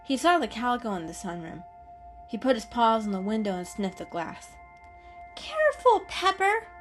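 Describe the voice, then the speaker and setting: A woman's voice: mid-range voice, fairly quick. A woman narrator giving a scripted, dramatic reading of an animal story. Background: music.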